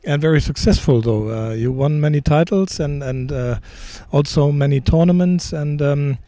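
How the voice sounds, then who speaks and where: Middle-aged male interviewer, slightly high, mellow tone, dry sound, halting questions. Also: no room sound. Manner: friendly, halting, many ums.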